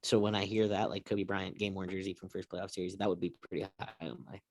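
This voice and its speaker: Man, deep pitch, dry acoustic, sports panel moderator, casual topic introductions. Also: flat delivery, fast talker, a little mellow, no room sound.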